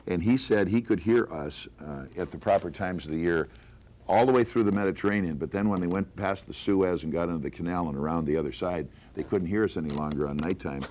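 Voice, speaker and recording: A man's voice: sits low, a little fast, a little mellow. An older American man, a longtime radio broadcaster, sharing recollections in a casual panel chat.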